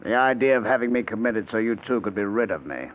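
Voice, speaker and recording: A man plays a coldly scheming husband in an old radio mystery. His deep voice swings widely in pitch, sardonic and menacing, through a thin, noisy vintage recording. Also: animated, quick pace, phone-quality sound, lightly acted. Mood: cold menace. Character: scheming, vengeful husband feigning madness, coldly triumphant. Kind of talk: old-time radio mystery drama acting.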